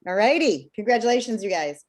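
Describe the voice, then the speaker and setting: A woman's voice: medium pitch, very animated, fairly quick. A woman with a mid-range voice makes casual, upbeat announcements. She sounds chatty and friendly over a video call.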